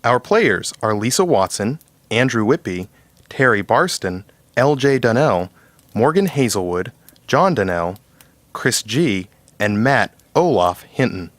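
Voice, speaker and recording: A man's voice: medium pitch, very animated, unhurried, no echo. A man hosting and narrating a scripted storybook tale. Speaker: host and narrator.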